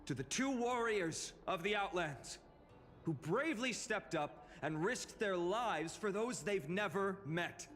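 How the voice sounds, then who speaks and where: An actor playing a gallant, earnest young fantasy hero in a video game, speaking in a measured, courteous way. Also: male voice, sits high, lively intonation, fairly bright tone. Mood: formal, grateful. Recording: long reverb, game music behind.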